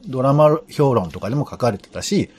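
A man's voice: mid-range voice, lively intonation, a little fast, darkish tone, a little hiss or hum. A man explaining things in casual conversation as a co-host of a talk show.

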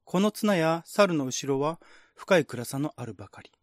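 High-pitched, animated man presenting radio drama. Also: moderate pace, no room sound. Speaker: radio drama host and narrator. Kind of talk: casual hosting and dramatic script reading.

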